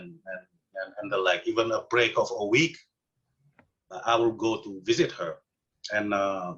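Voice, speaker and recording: A middle-aged man from Senegal with a Senegalese accent, answering interview questions casually with many pauses, fillers and restarts. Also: mid-range voice, a bit bright, lo-fi sound. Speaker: interview guest.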